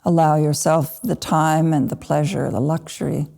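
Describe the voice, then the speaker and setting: Low, creaky woman guiding meditation. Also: fairly even intonation. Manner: unhurried, gentle pauses between short phrases. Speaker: Buddhist meditation teacher (lama). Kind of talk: guided meditation talk.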